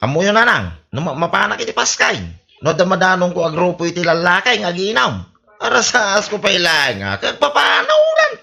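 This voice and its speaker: Bright, creaky, swaggering young braggart played by a man. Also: high-pitched, wildly swooping pitch, a little fast, full character voice. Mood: boastful. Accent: Ilocano. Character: boastful bullying young man, big-bodied town braggart. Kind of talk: Ilocano radio comedy drama acting.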